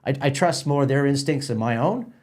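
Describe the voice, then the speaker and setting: A man with a Canadian accent chatting casually as an interview guest, explaining things in a halting, rambling way with repeated words. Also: mid-range voice, lively intonation, a little mellow. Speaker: festival organiser and stage manager, podcast guest.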